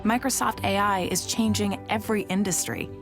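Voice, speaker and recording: A woman voices a corporate promo in a polished, upbeat announcer style. She reads it as a clean studio voice-over. A clear music bed plays under her voice. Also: mid-range voice, a little fast. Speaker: narrator of a corporate promo video.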